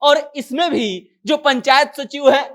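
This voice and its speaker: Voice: high voice, a little slow, a bit bright. A news presenter giving informal commentary, retelling a story conversationally and voicing quoted dialogue.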